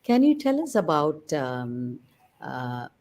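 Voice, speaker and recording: A woman's voice: low voice, sing-song, takes it slowly, a little mellow. A woman hosting a radio interview, effusive and full of praise, with many fillers. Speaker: radio show host. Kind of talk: interview talk.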